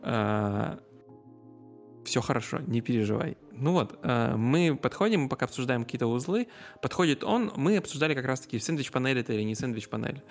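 Guy chatting casually, creaky voice, lots of searching for words and restarts, bit of music underneath. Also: mid-range voice, expressive, a little fast. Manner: hesitant, searching for words, with repeated self-corrections. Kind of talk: casual conversational storytelling.

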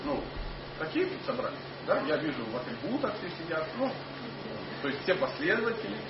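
A man with a high voice and a foreign accent lectures an audience on scripture. He speaks haltingly, with many fillers and restarts, and often puts questions to the listeners. He is picked up from a distance in an echoing hall, and the sound is dull with steady noise underneath. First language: not Russian. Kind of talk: informal religious lecture to an audience.

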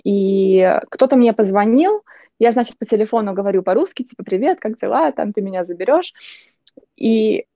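A woman's voice: mid-range voice, expressive, moderate pace, dry recording. A young woman from Russia, a journalist guest, telling casual stories in a chatty way and often restarting her sentences. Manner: chatty, anecdotal, frequent restarts. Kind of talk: casual interview chat. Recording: dull lo-fi dry sound.

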